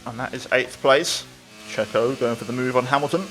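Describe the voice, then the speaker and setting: A man's voice: medium pitch, moderate pace, music in the background, rough recording. A man giving casual, upbeat gameplay commentary as a video creator.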